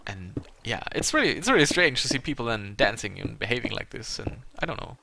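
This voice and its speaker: A man's voice: mid-range voice, big pitch swings, vocal fry, noisy background. A man from Germany with a German accent and German as his native language, chatting casually and hesitantly as he hosts a gaming video, rambling along with self-conscious asides. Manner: hesitant, halting, chuckles at himself. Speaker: Let's Play gaming video host.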